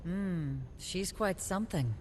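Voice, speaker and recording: A woman's voice: on the low side, animated, slow, a little hiss or hum. A woman playing a stern, driven warrior in a video game, terse, blunt and resolute.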